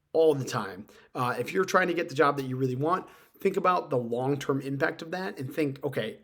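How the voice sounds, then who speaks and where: Guy doing a career tips video, informal, really stresses and repeats his main points, mid-range voice. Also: medium pitch. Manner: emphatic, repeats key points. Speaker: career advice video host. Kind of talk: informal instructional talk to camera.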